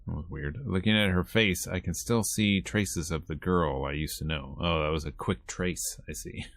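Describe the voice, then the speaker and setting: A man's voice: low-pitched, moderate pace, creaky, fairly bright tone, no echo, studio quality. A man streaming a visual novel, reading its text aloud and breaking off into casual, wry asides. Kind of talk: reading game dialogue aloud with casual commentary.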